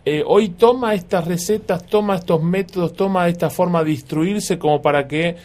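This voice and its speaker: A man's voice: sits high, normal speed, darkish tone. A man with an Argentine accent hosting a radio interview, asking long, rambling questions full of restarts. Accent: Argentine Spanish. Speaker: radio host.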